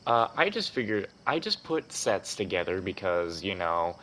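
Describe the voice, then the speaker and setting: A man's voice: low-pitched, sing-song, moderate pace, pushed and bright, slight background noise, rough recording. A man hosting a gaming playthrough with casual, slangy and profane commentary. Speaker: gaming video host.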